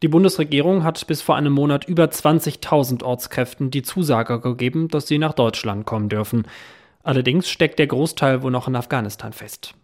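A man reading a scripted radio news report in a measured, even way. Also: mid-range voice. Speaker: news presenter.

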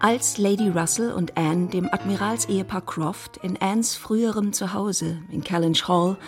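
A woman's voice: mid-range voice, moderate pace, darkish tone, faint music behind, lightly acted. A woman acting as the composed storyteller of a period novel, giving scripted literary narration in a German radio drama. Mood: measured.

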